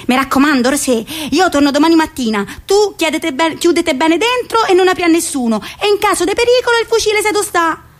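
Woman acting a careful village mamma, roman-area accent, high voice, anxious and bossy, on stage. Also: brisk. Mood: worried instructing. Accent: central Italian, Roman area. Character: protective, cautious rural mother in wartime. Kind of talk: theatrical monologue.

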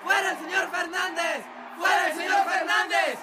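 Shouting student protesters. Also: male voice, very high voice, steady tone, normal speed, far from the mic, very noisy. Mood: angry chanting. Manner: chanting, belligerent. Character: angry crowd of protesting young male students. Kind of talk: radio drama chanting. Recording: loud music and crowd.

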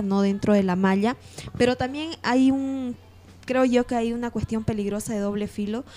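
Casting: Bolivian woman, slightly high voice, informal radio panelist who thinks aloud with hesitant repetitions. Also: darkish tone. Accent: Bolivian Spanish. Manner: hesitant, repeats words while working out ideas. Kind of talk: informal on-air discussion.

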